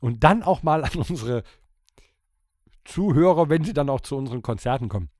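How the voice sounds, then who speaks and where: A man's voice: medium pitch, lively intonation, a little fast, darkish tone, dry recording, studio quality. A man bantering casually as a podcast co-host, colloquial and acting out little quotes as he explains. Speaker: podcast co-host and band musician. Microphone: close studio podcast microphone.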